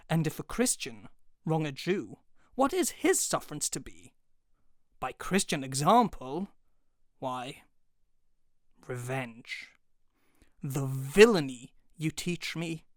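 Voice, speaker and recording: A man playing a bitter, wronged and vengeful moneylender in a classical stage monologue, with theatrical, drawn-out, emphatic phrasing. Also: on the high side, no room sound, studio quality. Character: bitter, vengeful, wronged Shakespearean moneylender pleading his case.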